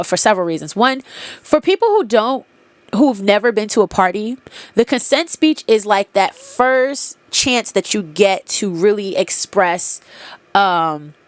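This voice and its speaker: A woman's voice: mid-range voice, dry recording. Woman host, congested, bluntly profane storyteller, very dry close sound. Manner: candid, profane, animated retelling with quoted dialogue. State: congested nose. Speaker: podcast host. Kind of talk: casual storytelling monologue.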